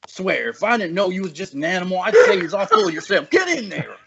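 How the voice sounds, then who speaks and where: A man's voice: sits high, wildly swooping pitch, quick pace, vocal fry, exaggerated voice. A man playing a crusty old farmhand, grumbling in folksy rural talk at a script table read. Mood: exasperated scolding. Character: crusty old male farmhand scolding his horses. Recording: dull audio with clicks and noise.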